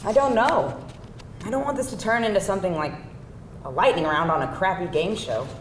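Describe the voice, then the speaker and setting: A woman's voice: a little fast, close to an everyday voice. A woman playing an earnest, exasperated girlfriend of about thirty at a live screenplay table read, speaking firmly and expressively. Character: earnest, exasperated girlfriend around thirty, wanting real commitment. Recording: roomy live hall, faint rumbling noise.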